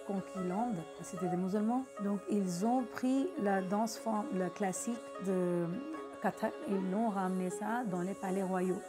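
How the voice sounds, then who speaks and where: Pakistani-accented woman over music. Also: mid-range voice. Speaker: dance teacher and dancer. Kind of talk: personal interview, conversational storytelling. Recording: music playing under the voice.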